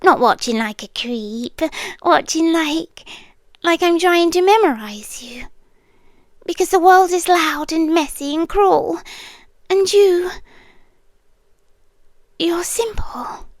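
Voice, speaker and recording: A British woman playing an obsessive, intense stalker girl in an intimate ASMR roleplay, speaking in a hushed, deliberate voice that often slips into whispers. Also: very high-pitched, animated, no echo, clean studio sound, exaggerated voice. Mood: yearning, controlled menace. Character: obsessive yandere stalker girl, intense, soft-spoken, longing, menacing. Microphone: close microphone.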